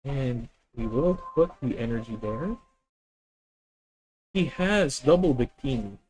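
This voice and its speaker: A man with a Filipino accent talking through his plays on a live stream. He reasons out loud in a methodical way, often stopping to correct himself mid-sentence. His voice sits at an ordinary middle pitch. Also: expressive, takes it slowly, poor audio. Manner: thinking aloud, methodical, self-correcting. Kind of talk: live deck-test commentary.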